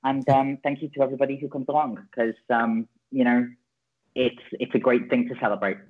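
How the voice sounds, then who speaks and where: A man's voice: medium pitch, level tone, fairly quick. A man organizing an awards night, gushing and playful as he talks with the host. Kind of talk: interview chat. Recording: tinny phone line.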